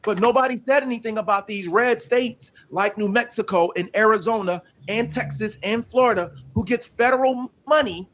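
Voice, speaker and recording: A man's voice: high voice, fairly bright tone, a little hiss or hum. A man from Pennsylvania debating on a live panel, arguing point by point and insisting on finishing his thought. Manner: measured, asks to finish, argues point by point. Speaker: panel guest.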